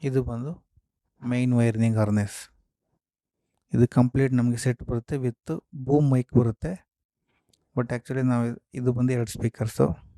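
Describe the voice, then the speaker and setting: Man with a dark, mellow, creaky voice. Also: mid-range voice, fairly even intonation, measured pace, long pauses, no room sound. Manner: explanatory, step-by-step, mixing in English terms. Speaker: host of a gear unboxing and review video. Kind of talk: casual product walkthrough.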